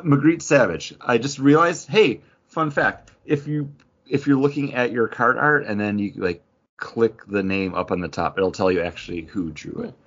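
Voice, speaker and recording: Male podcast host, low husky voice, enthusiastic casual chat, dull recording. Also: fairly bright tone. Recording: dull lo-fi audio.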